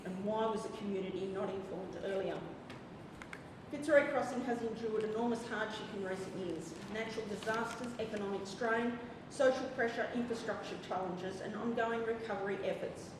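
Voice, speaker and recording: A woman's voice: medium pitch, moderate pace. A woman reading a formal, earnest prepared statement to a council, nervous as she speaks. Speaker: member of the public. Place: council chamber. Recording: echoey hall from far off, noisy.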